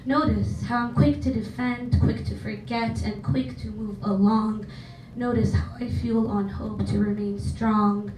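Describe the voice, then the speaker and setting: A woman's voice: a little high, steady tone, unhurried, darkish tone. A woman in her twenties from Jordan, a native Arabic speaker with an American accent, reciting her poem with rhythm and emphasis at an open mic. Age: 20s. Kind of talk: spoken word poetry performance. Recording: roomy, distant, noisy venue.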